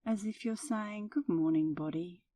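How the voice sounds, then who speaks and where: A woman leading a guided meditation as an instructor, with a soothing, gentle delivery. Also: medium pitch, moderate pace, no echo, distant mic.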